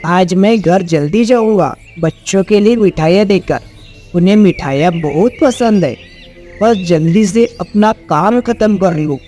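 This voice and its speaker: A poor, simple woodcutter from a folk tale, played by a man. His voice is high and he speaks slowly and humbly. Music and birdsong are faint behind him. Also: dark tone. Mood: fond, hopeful. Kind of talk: dramatised Hindi moral story.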